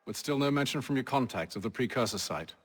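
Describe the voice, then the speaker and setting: An actor playing a cool, purposeful gentleman leader in a period video game, with a clipped, formal, probing manner. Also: mid-range voice, expressive, quick pace, husky. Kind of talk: video game cutscene acting.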